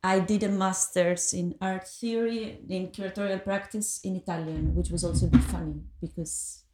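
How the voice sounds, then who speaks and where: Mellow-voiced woman. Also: mid-range voice, steady tone. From Greece. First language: Greek. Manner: thoughtful, hesitant, many pauses and fillers. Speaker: podcast guest. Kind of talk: reflective conversation. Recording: echoey room.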